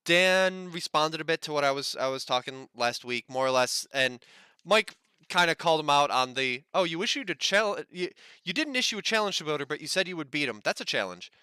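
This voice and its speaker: Smooth, bright-voiced man hosting news. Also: on the high side, lively intonation, a little fast, dry recording. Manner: wry, self-deprecating. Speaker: podcast co-host. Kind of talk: casual gaming news chat.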